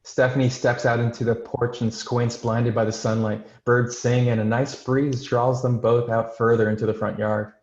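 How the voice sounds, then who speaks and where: A man's voice: medium pitch, level tone, moderate pace, few pauses, darkish tone. A man hosting and reading scripted introductions and screenplay narration, recorded in a roomy, muffled sound. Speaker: host and stage-direction narrator. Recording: roomy, dull-sounding microphone.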